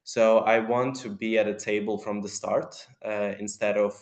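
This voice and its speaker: A man's voice: on the low side, little pitch movement, moderate pace. A young man giving an orderly, self-assured candidate speech on a video call. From Latvia. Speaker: election candidate.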